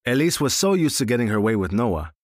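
A man's voice: mid-range voice, big pitch swings, fast talker, no room sound, clean studio sound. A man narrating an audio drama in a wry, matter-of-fact way. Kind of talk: scripted story narration.

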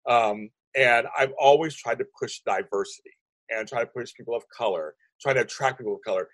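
A deep-voiced man in his fifties, a guest in an interview, talking quickly and emphatically. His voice is husky and slightly breathy, and the recording is dry with no room sound. He rambles and restarts his sentences. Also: a bit bright, no room sound. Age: 50s. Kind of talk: animated conversational interview.

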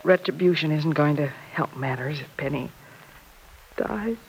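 A woman's voice: low voice, a little slow, a little hiss or hum, low-quality recording. A motherly middle-aged woman in a vintage radio drama. She speaks in a soothing, earnest way, anxious yet forgiving, on an old broadcast recording. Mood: anxious, consoling.

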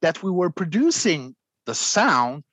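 A man, a guest vocalist, explaining extreme singing in a conversational interview, clearing his throat often between demonstrations. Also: a little high, very animated, a little slow, a bit bright, no echo.

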